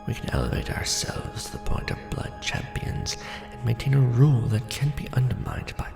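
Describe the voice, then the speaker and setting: A man playing a courtly, brooding vampire lord in an ASMR roleplay, speaking formally and deliberately in a hushed, breathy, gravelly voice. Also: medium pitch, very animated. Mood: solemn, assured. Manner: formal, hushed, deliberate, theatrical aristocratic address. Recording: roomy echo under background music. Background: music.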